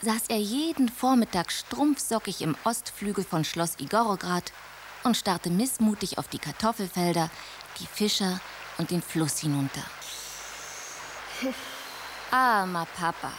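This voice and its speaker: A woman's voice: mid-range voice, measured pace, a little hiss or hum, natural voice. A woman playing a bossy young princess telling her story. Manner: lively storytelling, scolding and teasing. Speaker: narrator. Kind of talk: radio drama narration.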